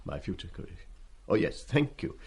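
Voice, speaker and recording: An actor playing an ailing, repressed middle-aged schoolmaster in a radio play, formal, hesitant and apologetic in manner. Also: male voice, normal speed, natural voice. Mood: embarrassed, apologetic.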